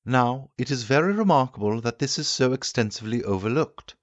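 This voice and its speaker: Man acting an eager, high-pitched Victorian gentleman inventor. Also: animated, brisk, dry recording, close to an everyday voice. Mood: eager explaining. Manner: emphatic, didactic, declamatory. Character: Victorian gentleman inventor, eager, assured, lecturing his guests. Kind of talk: audiobook character acting.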